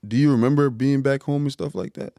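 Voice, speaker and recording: A man's voice: mid-range voice, steady tone, fast talker, dark tone, dry recording, studio quality. A man hosting a podcast, asking questions in a laid-back, slangy way. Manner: laid-back slang, "you know what I'm saying", "man", "bro". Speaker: podcast host. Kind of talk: casual interview chat.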